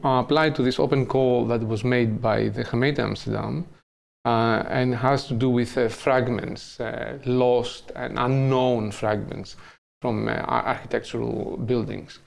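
A middle-aged man from Greece, a native Greek speaker, answering interview questions as an artist in a thoughtful, halting way with many fillers. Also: medium pitch, steady tone, vocal fry, darkish tone. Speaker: artist guest. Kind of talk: interview conversation.

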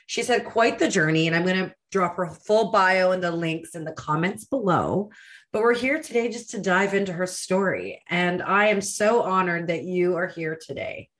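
A woman's voice: lowish voice, moderate pace, fairly bright tone. A woman in her thirties hosting a podcast interview, enthusiastic and rambling, full of fillers. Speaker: podcast host.

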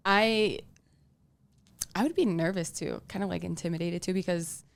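Woman with vocal fry, native Tongan speaker. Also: fairly low pitch, normal speed. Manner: chatty, many fillers like "like" and "you know". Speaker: podcast guest. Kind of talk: casual interview chat.

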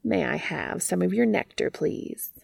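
A woman voicing a curious, gentle, devoted male bee in a storybook reading, soft and earnest. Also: fairly low pitch, expressive, unhurried, vocal fry, husky, dry recording, studio quality, exaggerated voice. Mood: curious, polite.

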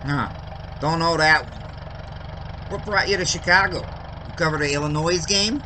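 An actor playing a talkative, folksy 1920s Chicago cab driver in an audio drama, with an American accent and a slangy, rambling way of telling a story. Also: male voice, on the high side, unhurried, bright, forceful tone, slight background noise. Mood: chatty, curious. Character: talkative 1920s Chicago cabbie, folksy baseball-loving yarn spinner.

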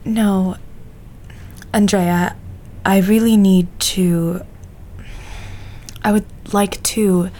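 An actress playing an anxious, withdrawn woman in an audio drama, speaking hesitantly and haltingly. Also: mid-range voice, fairly even intonation, mellow, slight background noise. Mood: hesitant, strained. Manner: hesitant, halting, breaking off sentences. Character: Margaret, anxious, withdrawn, fragile woman friend.

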